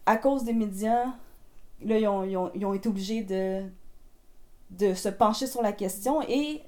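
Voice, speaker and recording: A woman's voice: medium pitch, normal speed, a little mellow. A pregnant woman with a Québécois accent, answering interview questions in casual, hesitant talk full of restarts. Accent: Québécois French. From Quebec. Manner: hesitant, many fillers and restarts. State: eight months pregnant.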